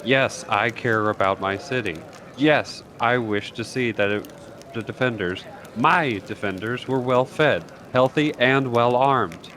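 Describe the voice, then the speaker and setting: A man's voice: mid-range voice, unhurried, a little hiss or hum, natural voice. A man voicing a proud, hard-nosed wealthy merchant in a video game, speaking in a formal, indignant manner. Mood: haughty, indignant. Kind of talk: reading video game dialogue aloud. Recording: loud music under the voice.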